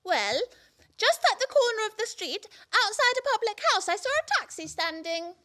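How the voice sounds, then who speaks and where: A woman's voice: very high-pitched, big pitch swings, normal speed, sharp tone, heavily acted. A woman playing a naive, guileless girl in a farcical radio play, chattering earnestly and dramatically. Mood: innocently matter-of-fact. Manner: earnest, wide-eyed, theatrical. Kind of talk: stage farce performed as radio play.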